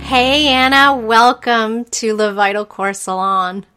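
A woman's voice: on the high side, slow, music in the background. A woman hosting a podcast, introducing her guest and chatting with her in an enthusiastic, eager way. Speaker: podcast host. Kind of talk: casual interview chat.

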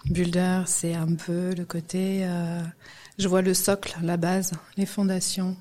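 A middle-aged woman from Guadeloupe talks as a podcast guest in a low, mellow voice. She hesitates often and fills gaps with "euh" while speaking at a loose, casual pace. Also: flat delivery, unhurried. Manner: hesitant, many fillers and restarts. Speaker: guest, music industry professional. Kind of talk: casual interview chat.

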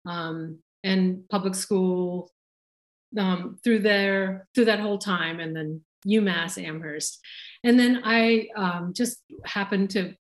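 A woman's voice: mid-range voice, takes it slowly. Female guest, forties, rambling chatty storyteller with lots of fillers. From India. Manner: rambling, laughs often, many fillers. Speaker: chef and guest. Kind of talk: casual interview storytelling.

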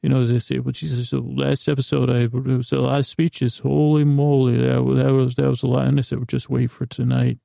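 A male podcast host with a very gravelly, fry-laden voice and a narrow, even pitch range. He rambles through a bedtime monologue, halting and interrupting himself in a silly, meandering way. The sound is dry and close to the room-free ideal. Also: dry recording. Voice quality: gravelly, creaky.

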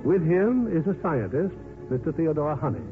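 A man's voice: on the high side, very animated, moderate pace, over music. A man hosting and narrating a 1950s radio theatre show in a polished, formal, scripted showman's style. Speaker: host and narrator. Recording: narrow-band 1950s radio recording.

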